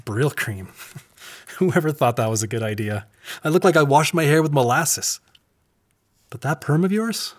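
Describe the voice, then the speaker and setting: Middle-aged husband, affectionate and self-deprecating joker, very lively pitch, naturalistic audio drama. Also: male voice, unhurried, long pauses. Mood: teasing, apologetic. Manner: playful, chuckling, eager.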